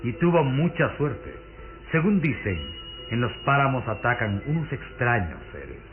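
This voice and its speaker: Tinny old radio recording with music, menacing aristocratic count, low swooping voice, theatrical, commanding. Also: male voice, sing-song. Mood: ominous, dismissive. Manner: suave, menacing, theatrical, measured. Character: sinister aristocratic count, commanding, hypnotic, coldly courteous. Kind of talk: radio drama acting.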